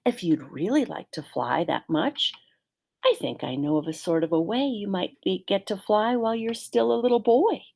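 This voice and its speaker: A woman's voice: mid-range voice, animated, normal speed, creaky, darkish tone, voice pushed up. A woman voicing a polite old female alley cat from a storybook, a well-traveled creature who talks courteously, like someone telling tales. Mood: eager, confiding.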